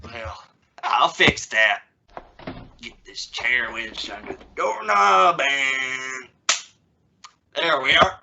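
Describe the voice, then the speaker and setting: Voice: mid-range voice, animated, very slow, creaky, pauses often, muffled recording, full character voice. A woman playing a flustered, impatient middle-aged father in an old-time radio family comedy, stammering in exasperation. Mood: forced calm. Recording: noisy recording with clicks.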